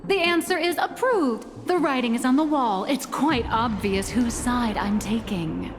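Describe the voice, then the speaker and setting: A woman's voice: a little high, measured pace. A woman playing a playful, assured ancient being in a video game, emphatic and teasing, with music behind her. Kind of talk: scripted video game voice acting.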